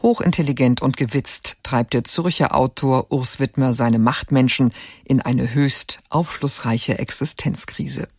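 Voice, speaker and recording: A man announces a radio play in an even, measured delivery at a mid pitch. The sound is dry and close. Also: no echo.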